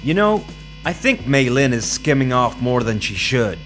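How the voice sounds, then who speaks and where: A man's voice: mid-range voice, sing-song, moderate pace, a bit bright. A man playing a laid-back young tech tinkerer with a casual, colloquial manner in an audio drama. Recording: music behind the voice.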